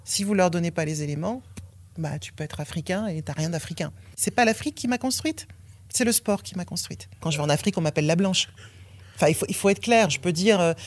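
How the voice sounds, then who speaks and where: A French woman in her fifties, a former athlete, telling her own story in a frank, blunt way and swearing now and then. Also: fairly low pitch. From France. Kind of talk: candid personal testimony.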